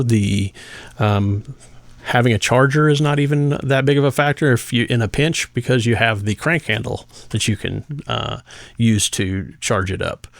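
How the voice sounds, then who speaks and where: A man giving a casual, conversational product demonstration as a gadget reviewer, speaking hesitantly with pauses mid-phrase. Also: mid-range voice, creaky, dry recording.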